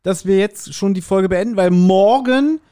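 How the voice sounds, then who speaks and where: A man's voice: sits high, moderate pace, mellow, smooth. A man co-hosting a podcast in casual chat, with dry, self-deprecating banter. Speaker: podcast co-host.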